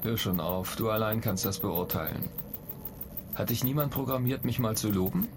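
A man's voice: on the low side, normal speed, close to an everyday voice. A man playing an irritable, ambitious astronaut in a radio play, blunt, impatient and argumentative. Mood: irritated. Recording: clear noise bed behind the voice.

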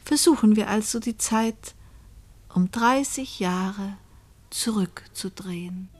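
An actress playing a reflective older woman who narrates a radio crime play in a deliberate, confiding manner. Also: mid-range voice, a little mellow. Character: reflective woman narrator recalling an old murder case.

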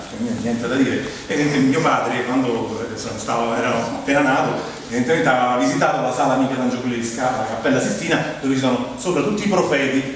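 A middle-aged man with a Roman Italian accent performing a humorous, conversational stage monologue, rambling and animated as he tells stories and addresses his listeners. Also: medium pitch. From Rome, Italy. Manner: animated, rambling, acts out quoted dialogue, addresses the audience. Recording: very echoey hall, distant mic, clear background noise.

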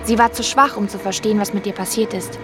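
A woman's voice: medium pitch, steady tone, fast talker, lightly acted. Young female star played by an actress, guarded and knowing; middle register, radio drama over music. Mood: quietly accusing.

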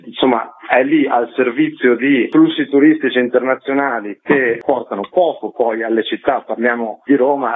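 A man's voice: medium pitch, breathy edge. A man speaking as an activist guest in a radio interview, explaining his case earnestly in repeated, list-like phrases. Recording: muffled narrow phone line on radio.

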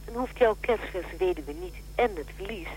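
An actress playing a brisk, matter-of-fact and drily blunt helpline operator in a radio drama. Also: female voice, on the low side, expressive, a little mellow, slight background noise, lightly acted, rough recording.

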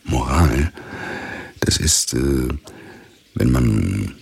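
An actor in a radio drama playing a pompous, befuddled, moralizing army captain who speaks haltingly and repeats himself. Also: male voice, very deep voice, gravelly, a little mellow, breathy edge, exaggerated voice. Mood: condescending, musing. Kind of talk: theatrical radio drama acting.

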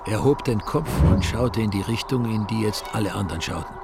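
A man's voice: on the low side, animated, a little fast, noisy background. A man narrating a radio drama from a script, in a storytelling style. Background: music.

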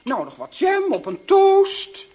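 An actress playing a fussy, bossy middle-aged aunt with a husky, theatrical, indignant manner in a children's radio play. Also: female voice, very high-pitched, huge pitch swings, slow, mellow, heavily acted.

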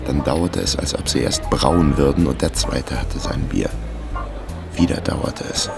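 A wry, laconic detective narrates a radio crime story. His voice is extremely low and gravelly, with a dry delivery. Music runs underneath. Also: lively intonation, unhurried, heavily acted. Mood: agitated. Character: wry male amateur detective narrating his own case. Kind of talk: scripted first-person crime radio drama narration.

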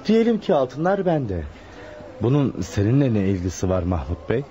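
A man's voice: mid-range voice, big pitch swings, normal speed, warm tone, close to an everyday voice. An actor playing a composed, polite young male suspect in a radio play, earnest yet defiant. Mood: cool, defiant. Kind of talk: Turkish radio theater acting. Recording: muffled, faintly noisy radio recording.

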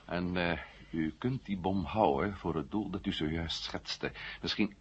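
Husky, low-voiced, insistent adventurer hero. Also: male voice, a little slow, full character voice. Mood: strained patience. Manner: insistent, emphatic, explanatory. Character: urgent, persuasive male adventurer-investigator on a mission. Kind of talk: 1970 Dutch radio drama acting. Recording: muffled 1970 radio play recording.

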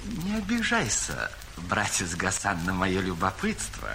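A caliph disguised as a humble wandering dervish in a storybook recording, courteous and curious. He talks slowly in a bright voice that rises and falls widely. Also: low-pitched, wildly swooping pitch, vocal fry, a little hiss or hum, heavily acted. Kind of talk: audio fairy tale acting.

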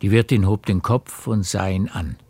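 A man reading scripted literary narration for a radio drama in a measured storytelling manner. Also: sits low, fairly even intonation, a little mellow, no echo.

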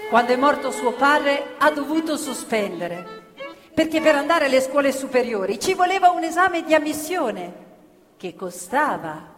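A woman's voice: sits high, sing-song, normal speed, faint music behind. A woman narrating a stage play expressively, with a rhythmic, sometimes half-sung delivery. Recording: live stage recording in a reverberant theatre with violin.

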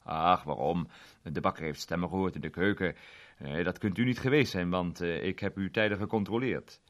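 A man's voice: sits low, normal speed, close to an everyday voice. A man voicing a cheerful, methodical male salesman turned amateur detective who likes quoting mottos. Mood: eager, lively. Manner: precise, fond of quoting mottos. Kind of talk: radio play reading.

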